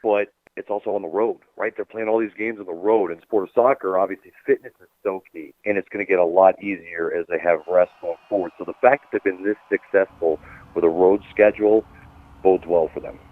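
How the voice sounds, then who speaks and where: A man's voice: sits low, steady tone, fairly quick. An emphatic man giving conversational, opinionated sports analysis as a team commentator in an interview. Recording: narrow phone-like sound.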